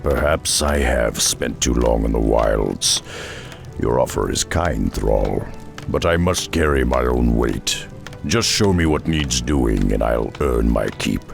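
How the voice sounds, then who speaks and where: An actor playing a world-weary, brooding half-orc, half-ogre warrior in a video game, speaking slowly and solemnly in a gravelly voice. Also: male voice, extremely low pitch, animated, exaggerated voice. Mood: grave, respectful. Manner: brooding, solemn, slow-spoken. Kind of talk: video game cutscene acting. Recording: music behind the voice.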